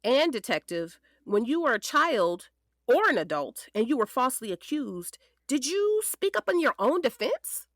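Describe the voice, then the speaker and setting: A determined female defense lawyer in a courtroom drama. Her voice is fairly high and very animated, in a dry, close recording. She questions with pointed, composed emphasis. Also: no room sound, exaggerated voice. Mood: persuasive. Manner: deliberate, emphatic cross-examining. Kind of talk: courtroom audio drama acting.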